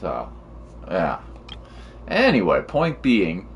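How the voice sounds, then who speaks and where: A man's voice: low voice, huge pitch swings, slow, slight background noise. A man chatting casually and commentating as a game streamer, with a laid-back, slangy way of talking. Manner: laid-back slangy talk with mild swearing. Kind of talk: casual livestream chat and gameplay commentary.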